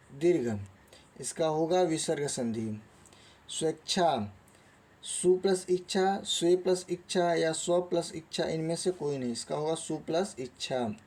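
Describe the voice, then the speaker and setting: A man's voice: fairly high pitch, dark tone. A man teaching a lesson, reading out quiz questions and options in a didactic way and then giving the answers. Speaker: Hindi grammar teacher.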